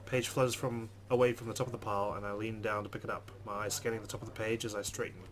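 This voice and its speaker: Low-ish voiced guy doing a let's play, reading game text out loud really quick. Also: level tone. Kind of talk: reading a visual novel aloud with asides.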